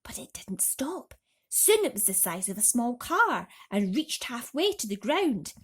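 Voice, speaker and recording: A woman's voice: on the high side, sing-song, measured pace, slightly rough. A woman reading a children's bedtime story expressively, with dramatic emphasis, as a host talking to young listeners.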